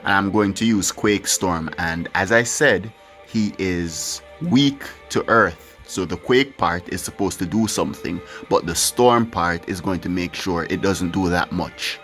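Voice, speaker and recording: A man's voice: low-pitched, a little slow, fairly bright tone. A man giving casual, rambling gameplay commentary. He swears now and then and talks candidly as he plays. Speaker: gaming channel host. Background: his own music playing faintly.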